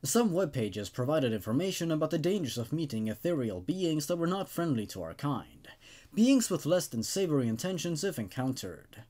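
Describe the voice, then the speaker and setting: A man's voice: on the high side, big pitch swings, moderate pace, mellow. A man hosting a horror story show, moving between dramatic narration and casual review, with emphatic delivery. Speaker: host reading and reviewing horror stories.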